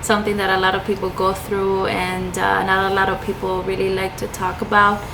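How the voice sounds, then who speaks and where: A woman's voice: mid-range voice, monotone, a little fast, noisy background. A young woman from Chile talking hesitantly about her personal life in a vlog, with lots of fillers and restarts. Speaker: vlogger.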